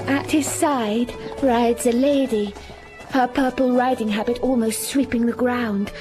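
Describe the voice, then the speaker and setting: A woman's voice: a little high, poor audio. An actress playing a plain, self-critical young governess who narrates her own story in a radio drama. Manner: introspective, self-mocking. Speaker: narrator and heroine. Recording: music under the voice. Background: music.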